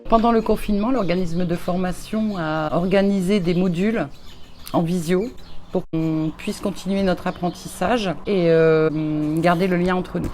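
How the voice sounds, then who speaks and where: A woman's voice: lowish voice, steady tone, normal speed, a little mellow, soft background music. A woman in her forties, a trainee social youth worker, giving a plain informative interview answer. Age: 40s.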